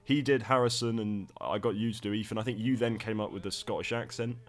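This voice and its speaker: A man chatting casually through a commentary on his own fan production, rambling and laughing, recorded on a cheap microphone. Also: quick pace. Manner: rambling, laughing, lively pitch. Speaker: writer and director giving commentary. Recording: cheap mic, faint music.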